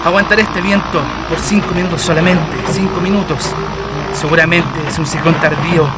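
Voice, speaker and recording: Over loud engine noise, a man acting as a brave pioneer Chilean aviator talks to himself in a fairly high voice. He is theatrical and exclamatory, anxious yet determined, in the manner of a radio drama. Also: fairly even intonation, normal speed, close to an everyday voice.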